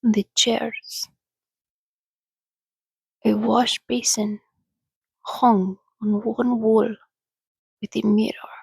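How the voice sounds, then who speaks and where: A woman reading a story aloud in a soothing, breathy, soft-spoken ASMR style, often slipping into a whisper, close to the microphone. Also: a little high, little pitch movement, no room sound, rough recording. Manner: soothing, unhurried. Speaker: reader.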